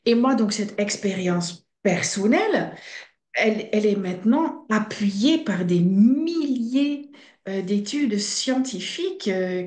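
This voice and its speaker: Middle-aged woman, belgian-dutch accent, calm sure explainer, roomy echoey sound. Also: medium pitch. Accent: Belgian-Dutch accent in French. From Belgium and the Netherlands. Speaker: fasting center director, guest. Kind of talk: podcast interview. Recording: roomy echo.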